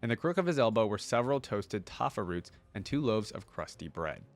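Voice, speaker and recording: A man's voice: fairly low pitch, big pitch swings, a little fast, faint music behind. A man reading a story aloud and breaking in with casual commentary of his own, as a reaction host.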